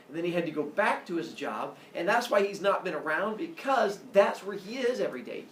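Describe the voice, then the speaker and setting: A man's voice: a little high, fairly bright tone. Man playing a reassuring mother, roomy sound. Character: mother of a boy, gentle, reassuring woman. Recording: roomy, distant mic, faint noise.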